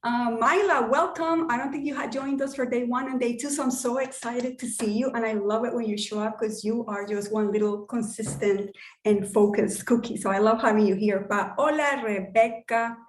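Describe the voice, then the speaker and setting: A woman's voice: on the high side, fairly even intonation, normal speed, roomy sound. A woman with a Spanish accent hosting an online workshop, chatty and enthusiastic with lots of fillers. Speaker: workshop host and coach.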